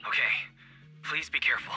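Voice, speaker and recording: An actor voicing a calm young male ally who speaks steadily and reassuringly. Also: fairly quick, faint music behind.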